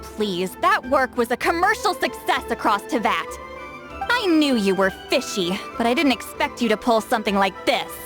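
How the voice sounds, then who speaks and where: A sharp young female scholar from a video game. She speaks in a fairly high voice, explaining things with cheeky confidence. Soft music sits underneath. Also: sing-song, moderate pace. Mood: accusing. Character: sharp, self-assured young female scholar, knowing and playful.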